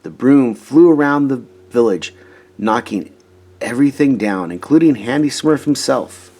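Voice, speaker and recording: A man reading a children's storybook aloud in an expressive, emphatic way. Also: medium pitch, a little slow. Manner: animated, emphatic, dramatizing story moments. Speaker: storybook reader.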